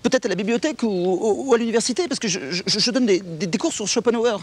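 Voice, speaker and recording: A man's voice: high-pitched, fast talker, husky. A man playing a neurotic, stammering intellectual who rambles anxiously and repeats words. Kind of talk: sketch comedy.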